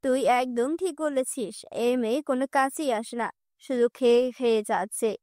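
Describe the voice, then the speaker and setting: A woman's voice: high-pitched, moderate pace, a little mellow, dry recording, studio quality, voice pushed down, lightly acted. A woman voicing a cruel middle-aged stepmother in a cartoon fairy tale, harsh and scolding. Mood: angry.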